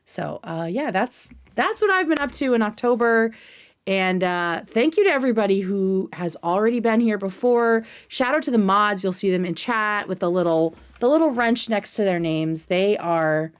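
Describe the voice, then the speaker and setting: A woman hosts a livestream in a medium-pitched voice. She talks casually to her chat in an informal, scattered way. Her language is loose, and she swears freely. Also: expressive, moderate pace, clear, resonant tone. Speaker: livestream host.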